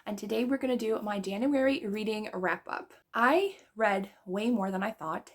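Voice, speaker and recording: A woman's voice: mid-range voice, normal speed. A woman book vlogger losing her voice, chatting casually through her monthly reading wrap-up with rambling asides and small laughs.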